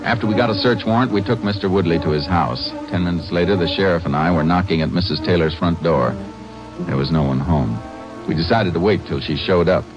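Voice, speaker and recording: An actor playing a calm, shrewd Texas Ranger who narrates his case in terse, matter-of-fact old-time radio style. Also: deep voice, a little fast, loud music behind the voice, natural voice. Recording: dull vintage radio recording.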